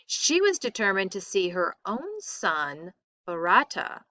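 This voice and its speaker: Bright-voiced woman reading a story aloud. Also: medium pitch, wildly swooping pitch, takes it slowly, dry recording. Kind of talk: expressive story read-aloud.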